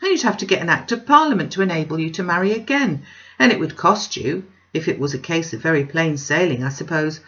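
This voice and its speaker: A woman acting blustering rich gentleman, pompous, dismissive, emphatic, storybook character, slightly dull recording. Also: low-pitched, lively intonation, quick pace. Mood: smug. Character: blustering, pompous, self-satisfied wealthy older man.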